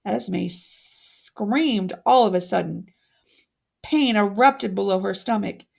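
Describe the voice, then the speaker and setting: A woman reading a fairytale aloud as a storyteller, with deliberate, dramatic expression. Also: medium pitch, gravelly, a little mellow.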